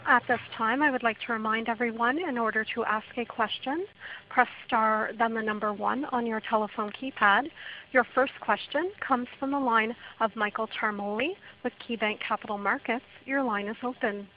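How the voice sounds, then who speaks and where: A woman's voice: fairly high pitch, normal speed. A woman reading scripted instructions as a conference call operator over a phone line. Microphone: telephone. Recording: dull phone line with faint noise.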